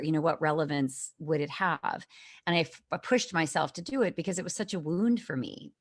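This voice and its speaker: A woman's voice: low-pitched, no room sound, studio quality. A woman speaking as a guest in a conversational interview, thoughtful and earnest, with frequent fillers, heard over a video call.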